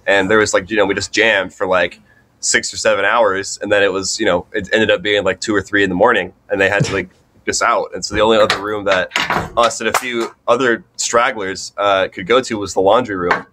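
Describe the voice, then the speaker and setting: Young male musician, lowish voice, informal halting storyteller, interview guest. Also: fairly even intonation, bright, forceful tone, slight background noise. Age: young adult. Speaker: band drummer, interview guest. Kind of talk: casual chat, storytelling.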